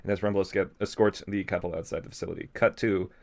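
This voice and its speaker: A man's voice: sits low, fairly even intonation, fast, a little mellow, dry recording. A man casually reading aloud and riffing on it with joking, sarcastic asides, as a host. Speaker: host reading a fan fiction aloud with commentary.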